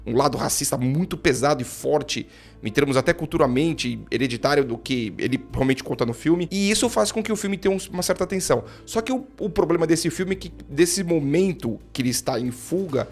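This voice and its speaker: A man's voice: on the high side, lively intonation, brisk, faint music behind. A man with a Brazilian Portuguese accent giving a casual spoken film review, opinionated and thinking aloud, often repeating and restarting phrases. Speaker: film critic.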